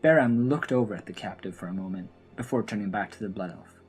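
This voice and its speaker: A woman's voice: very deep voice, quick pace, darkish tone, soft background music. A woman narrating a scripted fantasy story for an audio drama.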